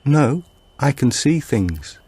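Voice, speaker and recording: A man voicing a small, sleepy girl in an audiobook, giving short, plaintive, eerily calm answers as if in a trance. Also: mid-range voice, takes it slowly, husky, no room sound, voice pushed up, exaggerated voice. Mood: eerie, trance-like.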